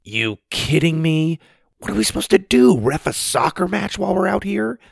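A man voicing a jittery, sarcastic young boy in a high, whiny voice. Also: sing-song, moderate pace, vocal fry, no echo, clean studio sound, heavily acted. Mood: panicked.

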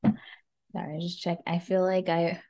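A woman's voice: on the low side, level tone, unhurried, mellow. A woman in her thirties co-hosting a casual podcast, teasing and upbeat. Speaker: podcast co-host. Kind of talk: casual chat.

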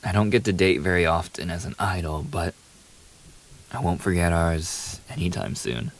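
A man's voice: sits low, normal speed, vocal fry. A man playing a famous young pop idol boyfriend in an ASMR audio roleplay, playful, affectionate and eager, teasing the listener in a casual, intimate way. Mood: relaxed, affectionate. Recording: steady hiss behind the voice.

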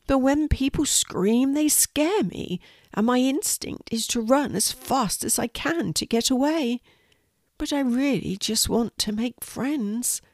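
A woman voicing a tiny, timid male spider in a children's storybook, gentle and sad, with a plaintive, tentative delivery. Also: on the high side, no room sound, clean studio sound, voice pushed up.

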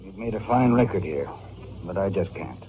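An actor playing a kindly, apologetic company executive, gently regretful, in an old-time radio drama. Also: male voice, deep voice, animated, normal speed. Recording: tinny, hissy old radio recording.

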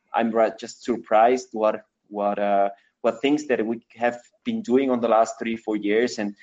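A man's voice: fairly low pitch, a little mellow, lo-fi sound. A man in his thirties from Ecuador, answering interview questions in English as a with many fillers and restarts. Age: 30s. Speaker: mountaineer guest.

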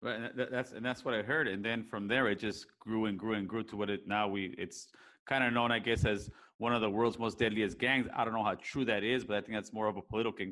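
A man hosting an interview, nudging his guest along with frequent short affirmations and asking questions in a halting, restarting way. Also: on the low side, steady tone, a bit bright. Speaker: podcast interviewer. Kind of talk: conversational interview.

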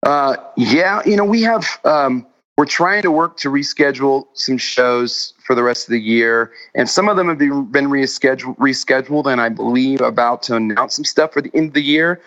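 A man's voice: mid-range voice, fairly bright tone. Rambling man, slightly muffled audio. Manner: rambling, halting, self-interrupting. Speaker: musician guest. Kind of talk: casual remote interview chat.

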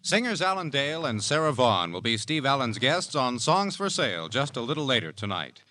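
A man's voice: mid-range voice, sing-song, brisk, pushed and bright. A man with an American accent announcing in a polished, formal 1950s network radio style.

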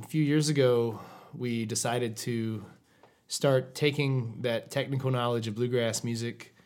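A man from North Carolina, a fiddler and lead singer, telling in a relaxed, rambling way how his band formed. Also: medium pitch. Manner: relaxed, storytelling, a bit rambling. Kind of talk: casual interview answers.

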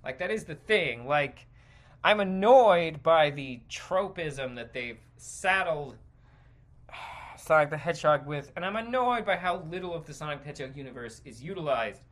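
A man hosting a podcast, giving a casual, rambling opinion rant with halting, stop-and-start phrasing and informal swearing. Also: on the high side, lively intonation, fairly bright tone. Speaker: podcast host.

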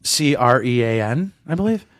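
A man's voice: medium pitch, expressive, normal speed. A man co-hosting a podcast, chatting casually about sports in an emphatic, profane way. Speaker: podcast co-host.

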